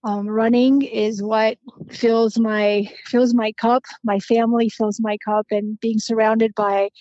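A woman in her forties, originally from Romania, talks through her story as a podcast guest. Her fairly high voice stays level and even, and she pauses often with ums and restarts. The sound is dry and a little muffled. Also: dry recording. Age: 40s. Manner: reflective, with frequent ums and restarts. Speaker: podcast guest, financial planner. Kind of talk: conversational interview.

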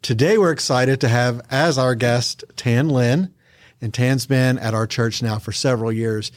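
A man's voice: mid-range voice, steady tone, a little slow, no echo, clean studio sound. A man introducing a church podcast episode and its guests in an upbeat announcer manner. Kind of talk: scripted show introduction.

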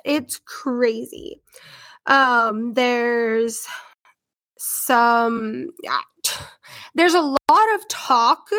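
A woman's voice: fairly high pitch, a bit bright, dry recording. A young woman with a head cold and a congested voice, hosting a casual true-crime podcast in a chatty, rambling way. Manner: chatty, rambling, filler-heavy. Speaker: podcast host.

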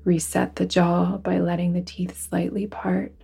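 A woman guiding a relaxation meditation in a soothing, deliberate manner, with phrases that sometimes fall to a hush. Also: lowish voice, level tone, vocal fry, breathy edge, soft background music. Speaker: yoga nidra guide. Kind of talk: guided relaxation meditation narration.

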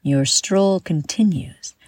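A woman guiding a meditation from a script in a soothing, measured manner, with breathy exhaled phrases. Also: fairly low pitch, darkish tone, no room sound. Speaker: meditation guide and host. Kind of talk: guided meditation, scripted narration.

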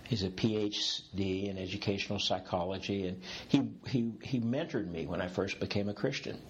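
A man's voice: fairly low pitch, normal speed, husky, dull audio. A man in his sixties talking as a guest in an interview, reflective and rambling, with restarts along the way. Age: 60s. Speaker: executive coach, guest. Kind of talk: interview conversation.

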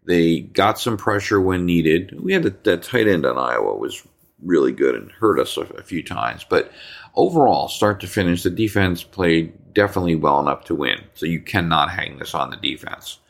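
A man with a New Jersey accent hosting a sports talk podcast, his voice slightly hoarse, rambling with repeated words and ums. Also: low-pitched, expressive, moderate pace. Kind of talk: casual sports talk monologue.

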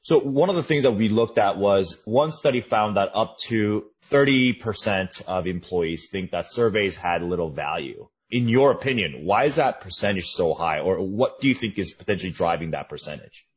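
A man hosts a podcast in an upbeat, conversational way, keeping the talk loose and friendly. His voice sits low with a slightly husky edge, and he keeps things casual with easy fillers. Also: lively intonation, moderate pace, few pauses. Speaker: podcast host. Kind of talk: podcast interview.

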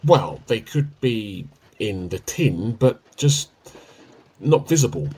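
A husky, evasive, sheepish husband. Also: male voice, on the low side, expressive, takes it slowly, darkish tone, exaggerated voice. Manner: hesitant, evasive, drily teasing. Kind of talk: radio play comedy dialogue.

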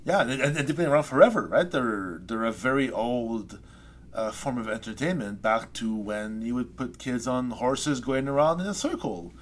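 A man, a poet being interviewed, talking things through slowly with many restarts and trailing pauses. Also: mid-range voice, lively intonation. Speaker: poet guest. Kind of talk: reflective interview chat. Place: Saskatoon resident.

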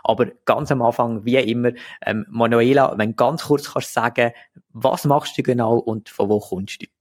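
Male podcast host, Swiss German accent, mid-pitched voice, conversational interviewing, close dry sound. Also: normal speed, no echo.

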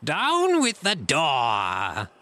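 Raging high-shouting pirate chief. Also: male voice, huge pitch swings, very slow, dry recording, exaggerated voice. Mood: furious, eager. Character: furious blind beggar pirate chief barking orders. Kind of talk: audiobook character acting.

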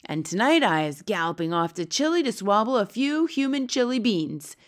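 Storybook villain: greedy, brutish giant man, acted by a woman, loud, sneering, garbled grammar. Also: mid-range voice, sing-song, normal speed, voice pushed up, lightly acted. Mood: gloating. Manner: bellowing, sneering, mangled grammar and made-up words. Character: brutish, gluttonous, bullying man-eating male giant. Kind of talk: storybook character reading.

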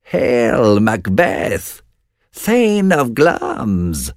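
A man voicing three eerie witches who call out prophecies in high, drawn-out, distant voices. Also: huge pitch swings, no room sound, studio quality, full character voice. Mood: eerie chant.

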